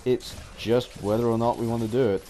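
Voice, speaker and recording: A man giving an unscripted opinion ramble as a gaming vlogger, halting and often restarting his phrases. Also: mid-range voice, fairly even intonation, dark tone.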